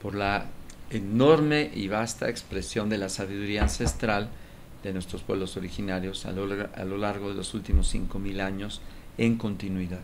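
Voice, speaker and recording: Give me a middle-aged male TV host with a low voice, talking eagerly in a small studio. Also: fairly even intonation, normal speed, slight background noise. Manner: eager, chiming in, formal when presenting. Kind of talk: casual studio conversation.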